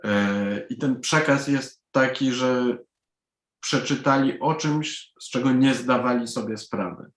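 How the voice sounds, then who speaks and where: An echoey recording of a man from Poland, a native Polish speaker, in a panel interview. His pace is slow and deliberate, with pauses inside his sentences, and his pitch sits in the middle. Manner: deliberate, pausing mid-sentence. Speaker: author. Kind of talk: panel interview, answering questions. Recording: echoey room sound.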